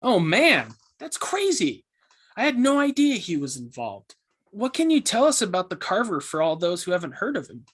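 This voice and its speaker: A man playing an eager, curious podcast host who asks questions in an earnest, excitable, conversational way. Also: high-pitched, normal speed, slightly rough, full character voice. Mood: amazed. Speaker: podcast host interviewing a guest. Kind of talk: conversational scripted podcast interview.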